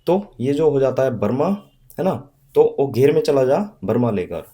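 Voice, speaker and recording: A young man teaching vocabulary in Hindi with a western Uttar Pradesh accent and a slight Haryanvi touch. He explains patiently, repeats his memory tricks and reads English definitions slowly. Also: mid-range voice, lively intonation, dark tone. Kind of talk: recorded classroom-style lesson.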